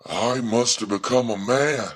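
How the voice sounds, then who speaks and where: A man plays a stubborn boy in an audio drama who wants to be a man. He speaks slowly and earnestly, with a husky edge. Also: medium pitch, a bit bright.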